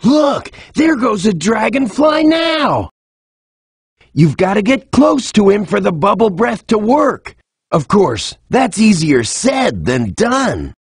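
Raspy game cheetah, high voice, slow n chill, friendly tips. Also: male voice, sing-song, no room sound, studio quality, exaggerated voice. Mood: friendly, encouraging. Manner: casual, encouraging. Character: laid-back, friendly male cheetah sidekick. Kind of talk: video game tutorial acting.

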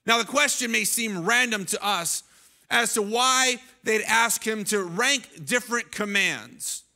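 A man in his thirties preaching a sermon on a church stage into a handheld mic, animated and often restarting his phrases. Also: sits high, measured pace. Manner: animated, gestures while preaching, many restarts. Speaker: pastor. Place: large church stage, indoors. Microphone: handheld microphone.

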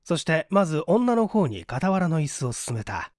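Lively man reading a story aloud. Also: a little high, moderate pace, no room sound, clean studio sound. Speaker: audiobook narrator, stage actor. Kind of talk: dramatic scripted story reading.

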